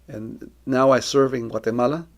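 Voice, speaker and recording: A middle-aged man from Colombia speaking as a missionary, giving a personal testimony in an earnest, reflective, deliberate way. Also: medium pitch, steady tone.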